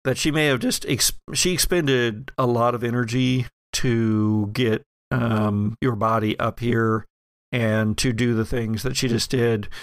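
Gravelly man narrating a tabletop game. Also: mid-range voice, fairly even intonation, unhurried, no room sound. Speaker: game master. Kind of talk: narrating an actual-play horror tabletop game.

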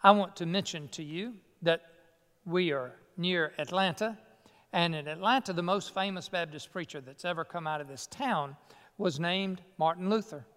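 High-voiced pastor in a ringing church. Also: male voice, a bit bright, long reverb tail. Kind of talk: sermon. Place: church sanctuary near Atlanta.

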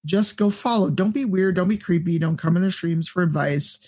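A woman with a deep voice, a podcast guest and streamer giving blunt, profane advice in casual chat. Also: moderate pace, darkish tone.

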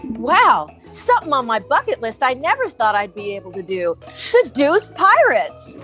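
A woman's voice: sits high, sing-song, moderate pace, fairly bright tone, faint music behind. A woman playing a wide-eyed, gullible customer in a mock commercial, sounding amazed and eager. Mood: amazed, curious.

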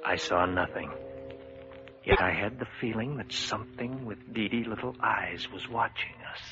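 An uneasy man, played by an actor tells an eerie story in a low voice, with the measured drama of old radio suspense. Loud music plays behind the slightly muffled recording. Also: natural voice. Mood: grave, apprehensive. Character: uneasy man recounting his eerie encounter. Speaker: narrator and lead character. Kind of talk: 1950s radio suspense narration and acting.

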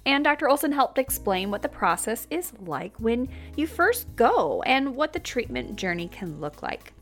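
A woman's voice: fairly high pitch, big pitch swings, normal speed, a bit bright, soft background music. A woman hosting a podcast, speaking frankly and casually while sounding congested from a cold. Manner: frank and candid, swears casually. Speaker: podcast host. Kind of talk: casual podcast intro monologue.

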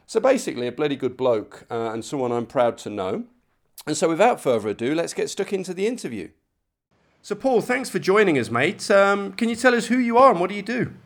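Informal male podcast host, slightly creaky voice. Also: mid-range voice. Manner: informal, matey. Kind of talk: casual spoken introduction and interview.

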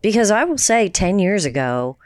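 A woman's voice: medium pitch, very animated, moderate pace, dry recording, clean studio sound. A woman from the Houston area co-hosting a casual chat, teasing her guest with playful questions. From Houston area, Texas. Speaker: co-host. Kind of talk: casual podcast conversation.

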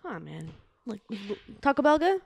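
A woman putting on a hushed, chummy good cop guy. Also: on the high side, very animated, normal speed, gravelly, husky, heavily acted. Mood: coaxing. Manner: hushed, friendly, calls him man. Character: soft-spoken, chummy male good cop.

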